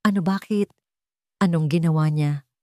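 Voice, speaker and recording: A woman's voice: sits low, moderate pace, warm tone, no room sound, studio quality, natural voice. Woman voicing a concerned, questioning young wife. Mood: worried. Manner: questioning, anxious. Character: concerned, affectionate working wife on the phone. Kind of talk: dramatic audiobook character dialogue.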